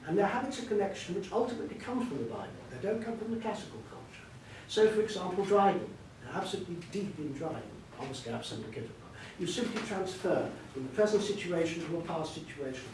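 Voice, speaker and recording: Echoey, higher-voiced older man in discussion. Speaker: author being interviewed. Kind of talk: literary discussion before an audience. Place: echoey hall at a live book event. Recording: very echoey, distant mic, faint rumbling noise.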